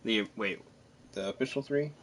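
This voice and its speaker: A man's voice: on the low side, normal speed, a little hiss or hum. A man casually commentating on his live game stream, chatty and self-deprecating, thinking aloud as he plays.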